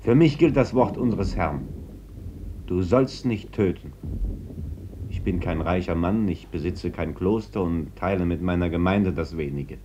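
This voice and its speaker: Low-voiced, earnest parish priest. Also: male voice, dark tone. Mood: earnest, troubled. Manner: earnest, measured, conciliatory. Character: gentle, troubled pacifist Spanish parish priest. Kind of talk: German radio play acting. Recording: dull, noisy old radio recording.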